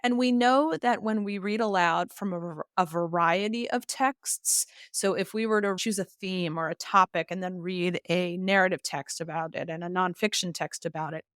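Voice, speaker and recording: Low-pitched woman explaining like a teacher. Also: moderate pace, hardly any pauses, fairly bright tone, smooth, no echo, studio quality. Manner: articulate, emphatic, teacherly, uses examples and lists. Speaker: expert guest, literacy educator and author. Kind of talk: explanatory interview answers.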